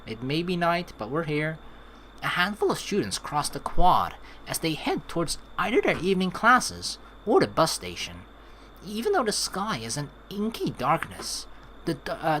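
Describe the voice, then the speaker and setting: A woman's voice: deep voice, a bit bright, a little hiss or hum, rough recording. A young woman playing through a game, reading its text aloud expressively and adding playful, casual commentary. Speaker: let's play host. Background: game music.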